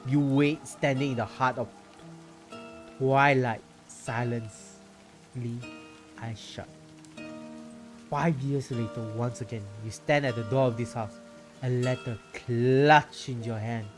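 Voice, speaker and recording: A man's voice: mid-range voice, steady tone, takes it slowly, pauses often. A man hosting a casual game livestream, reading story text aloud dramatically and commenting informally with mild swearing. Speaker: game streamer and host. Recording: music behind the voice.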